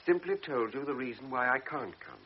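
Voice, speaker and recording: An actor playing an earnest, somewhat awkward young cavalry lieutenant who talks politely and hesitantly. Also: male voice, medium pitch, animated, brisk, slightly rough. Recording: dull radio drama recording with faint hiss.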